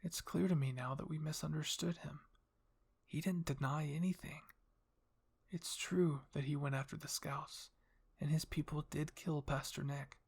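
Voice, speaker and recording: A man's voice: fairly high pitch, steady tone, moderate pace, creaky, slightly rough. A man voicing an earnest young royal woman, a devoted daughter, in an anime roleplay drama with a pleading manner.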